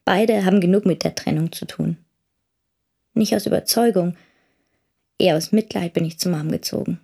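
A young woman speaks in a lowish, breathy voice with heavy creak. She is a wounded daughter in a radio drama, subdued and matter-of-fact. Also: moderate pace, warm tone. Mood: guarded, matter-of-fact. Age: young adult. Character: wounded, plain-spoken teenage daughter recounting her ordeal.

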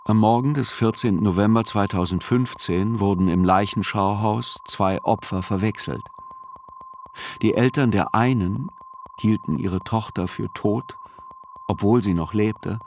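A man reads a scripted literary radio narration in a measured, sober way. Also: fairly low pitch, background noise.

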